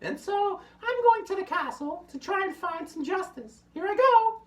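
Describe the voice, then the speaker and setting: A sad, fretting puppet girl with a very high, squeaky voice, chattering to the audience about her troubles, as a man puts her on. She sounds a bit off-mic in an echoey room. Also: expressive, normal speed, a bit bright. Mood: fretful. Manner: fretful, chatty, talks to the audience. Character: sad fuzzy female hand puppet. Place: home workshop room. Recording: roomy, slightly distant.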